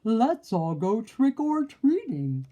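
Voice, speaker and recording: A woman voicing indignant, exasperated storybook wolves, huffy and loud, with drawn-out exclamations. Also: fairly high pitch, very animated, muffled recording, voice pushed up, full character voice. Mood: anxious. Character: indignant, exasperated wolves, aghast at rude guests.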